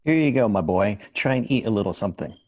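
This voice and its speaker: Tender, humble family father, played by a man, lowish husky voice, for holiday drama. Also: expressive, fast talker, a little mellow. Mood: tender, grateful. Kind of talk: dramatic table read.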